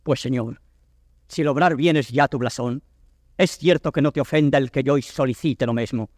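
A man's voice: medium pitch, normal speed, a little mellow, studio quality, close to an everyday voice. A male actor playing a proud, loyal noble courtier in declamatory verse drama, his voice reverberant. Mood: earnest, submissive. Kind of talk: classical Spanish verse stage acting.

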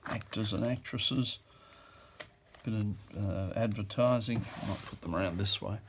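Low-voiced man musing aloud. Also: measured pace, slight background noise. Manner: musing, with frequent fillers and self-corrections. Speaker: auctioneer describing a lot. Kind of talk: casual off-the-cuff commentary. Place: indoors at a table.

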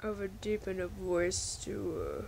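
A woman's voice: medium pitch, animated, moderate pace, creaky, darkish tone, a little hiss or hum. A woman casually chatting to her viewers in a rambling vlog, often restarting her sentences. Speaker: vlogger.

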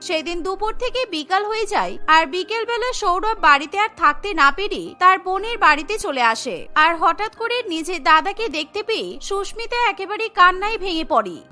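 A woman's voice: extremely high pitch, lively intonation, fairly quick, hardly any pauses, pushed and bright, soft background music. A woman reading scripted story narration for a cartoon.

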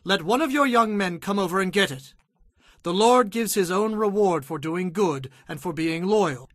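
A bold young warrior speaks in a high, earnest voice, declaiming with devout loyalty. It is a dramatized Bible production, recorded close and dry. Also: male voice, fairly bright tone, dry recording. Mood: solemn. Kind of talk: dramatized audio Bible acting.

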